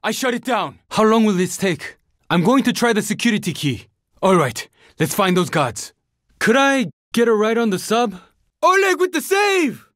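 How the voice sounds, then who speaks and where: A young survivor in a zombie shooter game. His voice sits high for a male and swings widely in pitch. The delivery is terse, earnest and determined, recorded very dry. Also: sing-song, moderate pace, fairly bright tone, dry recording. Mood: urgent alarm. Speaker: video game character. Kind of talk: video game voice lines, dramatic combat barks.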